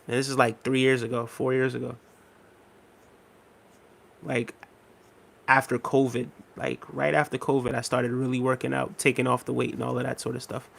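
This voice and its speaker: A man in his thirties hosting a casual livestream, reading viewer comments aloud in a relaxed, repetitive way with many fillers, a little unwell and tired. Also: medium pitch, gravelly. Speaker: livestream host.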